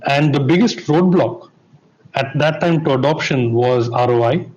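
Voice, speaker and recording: A man's voice: medium pitch, normal speed, darkish tone, highs cut off. A man speaking on a conference panel, explaining at length and asking listeners to bear with him.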